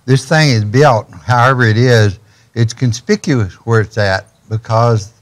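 A man's voice: mid-range voice, measured pace. An older man, a ministry guest on a TV studio set, telling stories in a folksy way and building to urgent questions. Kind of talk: storytelling in a studio interview.

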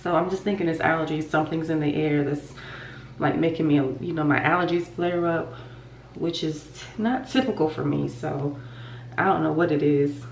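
A woman's voice: sits low, normal speed, creaky, far from the mic, a little hiss or hum. A woman in her thirties with a stuffy nose, chatting casually and rambling to her viewers from her bathroom while doing her routine. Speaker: vlogger. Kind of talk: casual chat to camera. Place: home bathroom. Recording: roomy bathroom echo, voice slightly off-mic.

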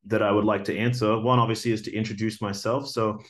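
A man's voice: lowish voice, level tone, fast talker. A man in his forties with an Australian accent, earnestly introducing himself for a teaching job, with many fillers and restarts, recorded on a webcam indoors. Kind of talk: video job application talk. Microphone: webcam video recording.

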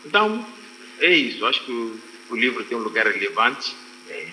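A man in his thirties with a Mozambican accent, giving his opinion as a panel guest on a video call, deliberately restating his phrases. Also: mid-range voice, big pitch swings, bright, forceful tone. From Quelimane, Mozambique. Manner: deliberate, repeats and rephrases phrases, often adds "né" as a tag. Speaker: guest writer on a literary panel. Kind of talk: panel discussion, extempore opinion. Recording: reverberant, noisy video-call audio.

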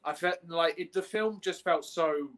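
A young man with a slightly higher-pitched voice talks casually as a podcast co-host. He explains things at length, with a fan's enthusiasm. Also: a little slow, fairly bright tone. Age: young adult. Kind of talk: casual discussion of games and films. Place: small room at home, on a video call.

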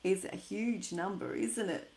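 Older woman, children's storyteller, very slow pace, questioning sing-song delivery, roomy home recording. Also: mid-range voice, steady tone, a little mellow. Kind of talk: read-aloud and chat addressed to young children. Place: small indoor room at home. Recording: roomy, echoey home webcam sound.

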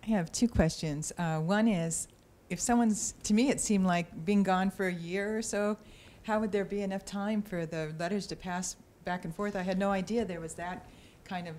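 A woman's voice: medium pitch, steady tone, normal speed, a little mellow. A woman in an audience putting casual questions to a speaker, recorded with some room noise. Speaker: audience member asking questions.

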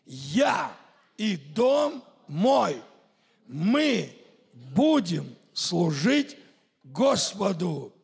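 Brief: man, pastor, slow emphatic sermon, husky high voice, big church hall reverb. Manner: slow, emphatic proclaiming, leading the crowd in chanted lines. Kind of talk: sermon with congregational declarations. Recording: echoey church hall livestream.

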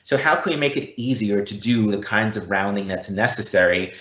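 A man's voice: fairly low pitch, a little fast, fairly bright tone. Man presenting, roomy echoing sound. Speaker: presenter, technical architect. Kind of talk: webinar presentation. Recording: roomy echo, slightly dull.

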